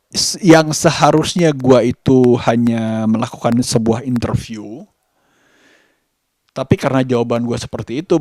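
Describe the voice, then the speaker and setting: A man with a Jakarta Indonesian accent telling a personal story as a vlogger, halting and hesitant, pausing between phrases. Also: medium pitch, a little mellow, dry recording, studio quality. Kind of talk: personal vlog storytelling to camera.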